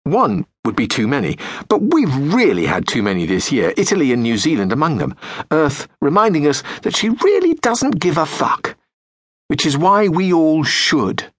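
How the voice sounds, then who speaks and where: A British man reads a comic audiobook with sardonic, profane deadpan timing. His voice is a little husky and sits slightly high for a man. His pitch swings widely, and the close mic gives a dry, dead studio sound. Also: normal speed, no echo. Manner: lively, wide-ranging pitch. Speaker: audiobook narrator. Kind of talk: scripted comic narration.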